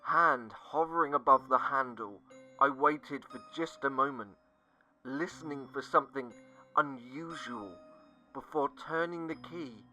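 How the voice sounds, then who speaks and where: A man narrating a scripted first-person horror story, dramatic and sinking to hushed tones at the tense moments. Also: mid-range voice, unhurried, muffled recording. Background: faint music.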